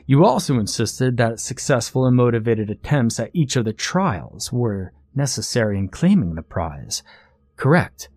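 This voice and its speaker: A man's voice: mid-range voice, sing-song, normal speed, a little mellow, dry recording. A man playing a clever, determined contestant in a storybook, arguing his case in an assertive, matter-of-fact way. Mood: methodical, insistent. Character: determined, clever male contestant, a loophole-hunting everyman.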